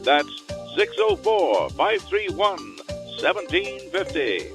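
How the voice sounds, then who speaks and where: A man's voice: high-pitched, wildly swooping pitch, slow pace, gravelly. A man reading a scripted radio commercial as an announcer. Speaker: advertisement announcer. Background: music bed.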